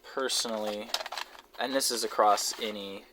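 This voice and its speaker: A man giving casual, unscripted show-and-tell commentary in a low-key, halting way, as if musing to himself. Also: steady tone. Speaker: hobbyist video creator showing a cassette collection. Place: basement, indoors. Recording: noisy amateur audio with clicks and handling noise.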